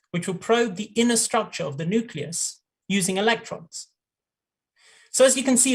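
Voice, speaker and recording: A man's voice: high voice, breathy edge. A man hosting a live online science broadcast, introducing his colleagues with fillers and small laughs. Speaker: host.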